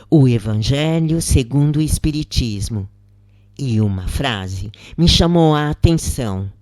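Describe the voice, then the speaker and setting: A narrator with a Brazilian accent reading a first-person spiritual account from a script in a measured, deliberate way with short pauses between phrases. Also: mid-range voice, mellow, dry recording, clean studio sound.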